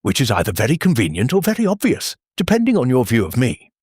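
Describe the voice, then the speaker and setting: A man voicing a bitter, defensive gentleman heir under suspicion in a mystery audiobook, clipped and resentful. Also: mid-range voice, huge pitch swings, quick pace, breathy edge, no echo, studio quality. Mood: flat, resigned. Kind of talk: audiobook character voice.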